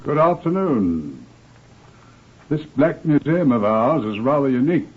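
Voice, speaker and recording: An actor with a British accent playing an authoritative police superintendent who narrates crime cases in a formal, measured, deliberate old-time radio style. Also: male voice, medium pitch, very animated, slightly rough, narrow, tinny audio. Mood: solemn, measured. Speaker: narrator, host of crime series. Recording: thin, band-limited old radio recording.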